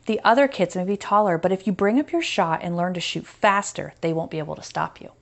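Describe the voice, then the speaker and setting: A woman's voice: lowish voice, a little fast, vocal fry. Woman reading as an earnest, encouraging father. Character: encouraging, steady father of a young boy.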